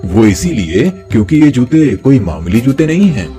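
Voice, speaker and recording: A man's voice: medium pitch, sing-song, faint music behind, natural voice. A man voicing a kindly, reassuring holy sage with magical powers in a moral-story cartoon. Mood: gentle, reassuring. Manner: gentle, reassuring, unhurried.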